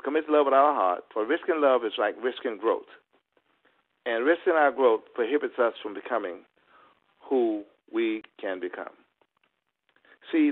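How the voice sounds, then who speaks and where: A man giving a motivational talk in a preacher-like style, with emphatic, deliberate phrasing and repeated refrains. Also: mid-range voice. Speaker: motivational speaker. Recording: muffled lo-fi conference-call audio.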